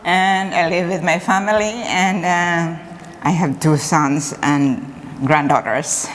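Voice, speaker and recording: Older Filipino-accented woman, very slow, low-pitched. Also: steady tone. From Philippines. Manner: slow, deliberate. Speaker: parishioner. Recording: faint noise.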